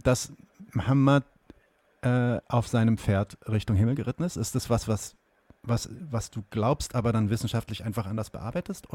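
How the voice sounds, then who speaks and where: A man's voice: mid-range voice, darkish tone, no room sound. A man from Germany hosting an interview, asking long, searching questions with many fillers. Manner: hesitant, searching for words, asks long questions. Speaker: host. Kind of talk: conversational interview.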